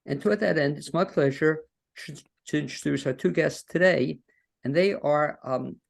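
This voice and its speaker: A man's voice: on the high side, moderate pace, gravelly. A man hosting an interview podcast from his home studio over a video call, talking in a folksy, rambling way. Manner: folksy, rambling with fillers and restarts. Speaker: podcast host.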